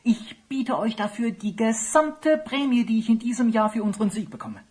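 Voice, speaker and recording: Husky, lively falconer. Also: male voice, very high voice, normal speed. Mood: courteous, hurried. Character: courteous, generous, busy male falconer. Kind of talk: fantasy audio play acting.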